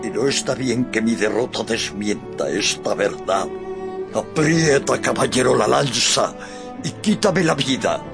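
A man's voice: medium pitch, expressive, measured pace, vocal fry, music in the background. A man acting in a radio drama as a proud, deluded old knight-errant with a grandiloquent, declamatory manner. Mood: defeated, noble.

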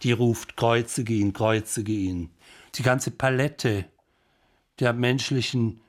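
A man improvising a colloquial retelling with commentary, halting and repeating phrases as he goes. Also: medium pitch, fairly even intonation.

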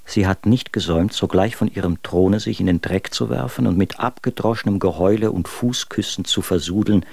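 Embittered husband for literary radio drama: low register, scornful, very dry recording. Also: male voice, a little fast, vocal fry, a little mellow, breathy edge, dry recording, full character voice. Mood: cold disgust. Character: bitter, contemptuous husband writing letters about his wife. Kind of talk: dramatic reading of old letters in a radio play.

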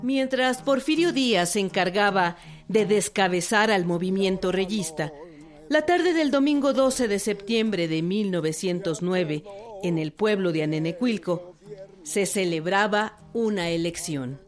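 A woman's voice: medium pitch, unhurried, soft background music. A woman reading scripted historical narration for a radio drama.

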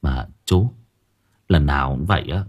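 A man's voice: rumbling low, a little slow, slightly rough, pitched below the performer's own voice, exaggerated voice. A man voicing a hot-headed, stubborn young labourer in an audio story, curt and challenging.

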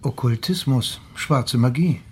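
An actor playing a dry, erudite and grumbling police deputy in a German radio play. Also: male voice, mid-range voice, unhurried, a little mellow, a little hiss or hum, natural voice. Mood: musing, learned.

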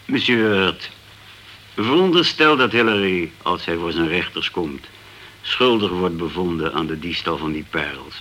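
An actor playing a formal, sceptical police inspector in a Dutch radio crime drama, measured and challenging. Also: male voice, low voice, expressive, bright, forceful tone, slight background noise, heavily acted.

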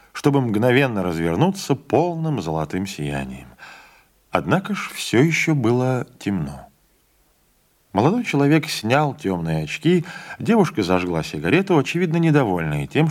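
A man reading a literary story aloud as an audiobook narrator, in a measured, expressive storytelling manner. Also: fairly low pitch.

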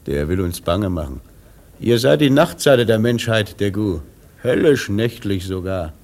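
An actor in a radio drama playing a worldly, sensual sailor with a mocking, languid manner. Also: male voice, lowish voice, animated, unhurried, a little mellow. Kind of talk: German radio drama acting.

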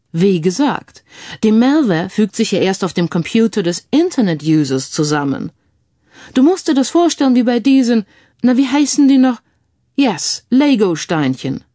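A woman voicing a calm male IT security expert who explains things in a mildly schoolmasterly way, mixing English phrases into the talk. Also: medium pitch, dry recording, clean studio sound, lightly acted. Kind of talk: audiobook character dialogue.

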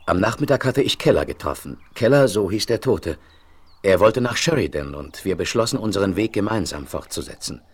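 Casting: earnest lanky travelling medicine man, low husky voice, theatrical and plain-spoken, vintage western audio drama. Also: animated, fairly quick. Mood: earnest, recounting. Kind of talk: 1970s German radio play acting.

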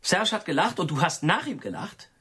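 A probing, argumentative friend in a radio play. The voice is fairly high and husky, very animated in pitch, insisting on every fine distinction. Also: male voice, moderate pace, full character voice. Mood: incredulous. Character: probing, sceptical male friend who nitpicks every word.

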